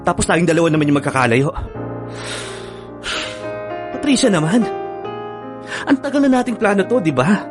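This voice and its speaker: A man's voice: high voice, very animated, moderate pace, pauses often. A man with a Filipino accent playing an earnest, hurt young boyfriend in a radio drama. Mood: hurt, pleading. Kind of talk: radio drama acting with first-person narration. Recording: music bed under the voice.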